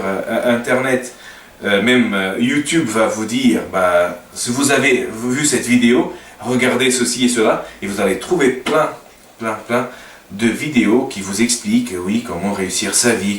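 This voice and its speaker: A man with a Portuguese accent speaking French as a second language, introducing himself informally and hesitantly, searching for his words. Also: medium pitch. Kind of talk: informal unscripted introduction to camera. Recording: roomy echo, distant mic, faint noise.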